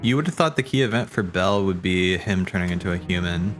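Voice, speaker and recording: A man streaming casual, rambling theory commentary, thinking aloud haltingly, correcting himself and swearing casually. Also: deep voice, music in the background.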